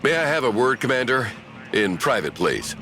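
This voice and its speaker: A man's voice: mid-range voice, sing-song, normal speed, bright, forceful tone, soft background music. An actor playing a discreet military man speaking politely and confidentially in a strategy game.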